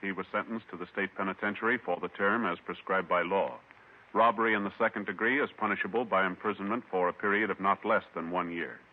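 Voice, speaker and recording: A man's voice: low voice, fairly even intonation, fast. An American man announcing formally from a script in 1950s radio style. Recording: muffled 1950s radio, loud music.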